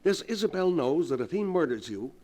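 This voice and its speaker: An actor playing a grave, resolute doctor in an earnest, theatrical 1940s radio melodrama style. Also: male voice, on the high side, very animated, a little fast, darkish tone. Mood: grave, urgent. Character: grave, resolute male doctor plotting against evil spirit. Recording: vintage 1940s radio recording.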